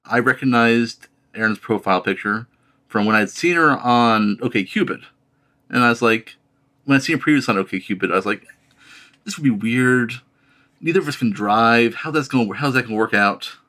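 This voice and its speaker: A man, telling things casually and haltingly, repeating words as he goes. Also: medium pitch, sharp tone. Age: late 30s. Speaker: podcast guest. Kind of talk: casual chat.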